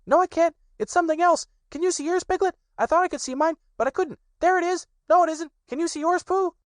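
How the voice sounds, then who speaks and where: A squeaky excited baby kangaroo. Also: male voice, fast talker, dry recording. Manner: eager, chattering, squeaky. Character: excitable small young kangaroo boy.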